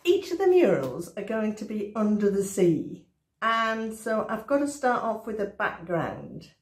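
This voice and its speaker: Older woman tutor, slow and chatty, mid-pitched voice, webcam in a small room at home. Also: big pitch swings. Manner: unhurried, chatty, practical. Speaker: art tutor.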